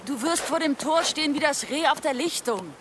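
A woman's voice: high voice, expressive, normal speed, fairly bright tone. A woman playing a worried, cautious medieval village companion in a radio drama. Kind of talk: German radio drama acting. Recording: clear noise behind the voice.

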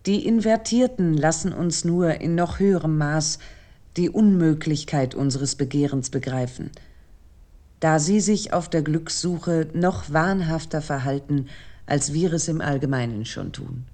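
A woman narrating a scripted literary lecture in a measured, analytical manner. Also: low voice, dark tone.

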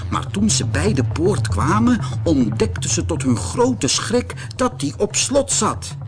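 A male storyteller reads a children's fairy tale. He takes his time, with a fairly high voice that rises and falls dramatically. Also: very animated, unhurried, over music.

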